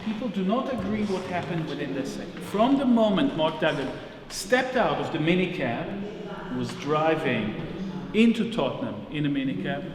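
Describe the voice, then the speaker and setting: A man's voice: fairly high pitch, lively intonation, normal speed, a crowd in the background. Israeli man speaking in an echoing hall. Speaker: research agency director giving a narrated exhibition tour. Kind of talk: public talk, spoken off the cuff. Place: large echoing gallery space. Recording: echoey reverberant hall with audience sounds.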